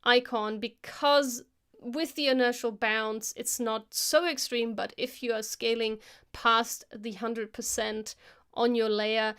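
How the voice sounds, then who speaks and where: A woman hosting a live stream, casually talking through a software tutorial as she works, thinking aloud into a close microphone. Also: a little high, steady tone, slow, clean tone. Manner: casual, chatty. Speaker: host of an animation live stream.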